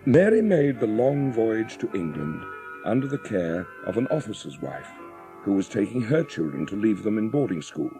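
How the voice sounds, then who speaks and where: Narrator for dramatised audiobook: man, lowish expressive voice, storytelling delivery over faint music. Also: normal speed.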